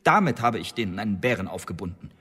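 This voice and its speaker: An accused poet-spy in a historical radio play, with a husky voice in a long, hall-like reverb. He protests earnestly and insistently, defensive but with a cheeky edge. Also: lowish voice, sing-song, fast talker, sharp tone, heavily acted. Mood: self-justifying. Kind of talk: radio drama acting.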